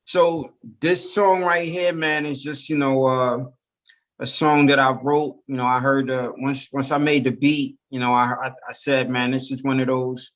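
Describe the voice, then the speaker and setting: A man's voice: medium pitch, little pitch movement, normal speed, a bit bright. A man, a rapper guest from Brooklyn talking loosely in a casual interview, full of fillers. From Brooklyn, New York. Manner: laid-back, loose, full of "you know".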